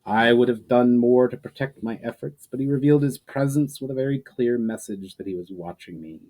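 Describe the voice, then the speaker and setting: A man's voice: medium pitch, fairly quick, darkish tone, natural voice, rough recording. A proud, scheming sorceress, voiced by a male storyteller, bristles with spite in a fantasy audiobook. She bites at her words with venom and sounds incredulous that anyone would question her. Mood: bitter, conceding.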